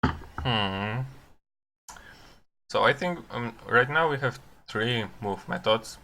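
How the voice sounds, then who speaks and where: A man who is a non-native English speaker gives unscripted live coding commentary, thinking aloud haltingly with long pauses. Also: medium pitch, animated, bright, forceful tone. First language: not English. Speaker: programmer live-streaming his coding.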